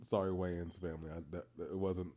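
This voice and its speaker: A man in his thirties with a Boston accent, co-hosting a podcast and telling stories in a playful, animated way. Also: low voice, a little fast. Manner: playful, animated storytelling, teasing. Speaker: podcast co-host. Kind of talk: casual chat.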